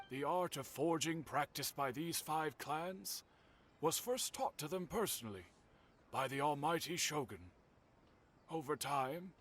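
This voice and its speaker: Slow, solemn older master swordsmith. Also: male voice, on the high side, fairly bright tone, a little hiss or hum. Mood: reflective. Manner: measured, formal, solemn. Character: older male master swordsmith, courteous, grave storyteller. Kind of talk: video game character acting.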